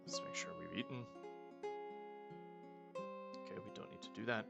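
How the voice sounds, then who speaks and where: A man casually commenting on a game he is playing, planning his next moves aloud and often trailing off into soft mutters. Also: lowish voice, normal speed, gravelly, loud music behind the voice. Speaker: let's play host.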